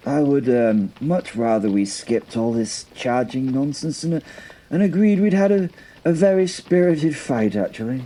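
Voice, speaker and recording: An actor playing a wry, battle-hardened but nervous medieval knight in an audio drama, halting and stammering. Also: male voice, medium pitch, lively intonation, warm tone, lightly acted, low-quality recording. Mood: nervous confiding. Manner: stammering, hesitant, chuckling.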